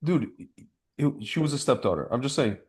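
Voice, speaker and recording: A man's voice: medium pitch, expressive, a little fast, husky. A man chatting casually and giving opinions on a podcast, rambling in slangy language and often repeating or breaking off his phrases. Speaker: podcast host.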